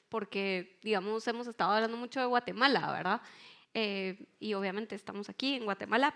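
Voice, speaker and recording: A woman moderating a conference panel, introducing questions in a measured, conversational way. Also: medium pitch, a bit bright. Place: conference stage.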